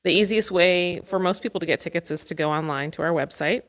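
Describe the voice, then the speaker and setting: Low-pitched woman explaining things casually. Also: fast, a bit bright. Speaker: theater staffer, interview guest. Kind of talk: casual informational interview talk.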